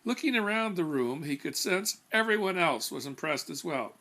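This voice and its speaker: A man reading his own comic fiction aloud as an author, with animated, emphatic storytelling. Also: a little high, moderate pace. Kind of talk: expressive scripted narration of comic fiction.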